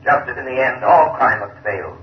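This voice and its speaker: An actor playing a grave, menacing unseen avenger, solemn and declamatory, in 1930s radio style on an old recording. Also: male voice, medium pitch, animated, moderate pace, a little hiss or hum, natural voice. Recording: echoey, distant, muffled old radio recording.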